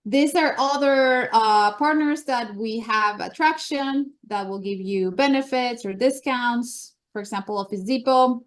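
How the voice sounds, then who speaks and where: A woman's voice: fairly high pitch, measured pace, hardly any pauses. Enthusiastic woman with a Colombian accent. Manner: enthusiastic, chatty presenter. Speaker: meeting host. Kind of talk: upbeat meeting announcements.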